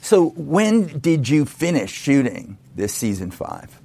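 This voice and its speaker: A man's voice: fairly high pitch, big pitch swings, slow, warm tone. A man from the United States hosting an interview podcast, teasing his guest playfully. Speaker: podcast host, film critic. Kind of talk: casual interview chat.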